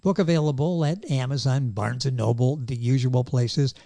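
A man's voice: mid-range voice, normal speed, gravelly, dark tone, dry recording. A man hosting an interview program, genial and upbeat with an announcer's delivery. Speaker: radio talk show host.

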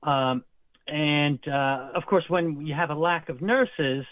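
A man's voice: on the high side, slow pace. A man in an interview, explaining nursing and medical terms at length, as a healthcare guest. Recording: tinny phone line, dry.

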